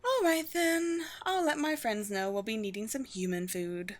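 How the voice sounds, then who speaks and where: A woman voicing a proud, wry vampire queen with a formal, measured, teasing manner in a scripted audio roleplay. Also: a little high, lightly acted. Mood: wry, commanding. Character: poised vampire queen, proud, wry, secretly gentle colony leader.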